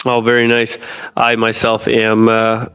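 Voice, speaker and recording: A man from North America hosting a podcast interview, asking his guest steady strings of questions. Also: lowish voice, unhurried, clean tone. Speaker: podcast host.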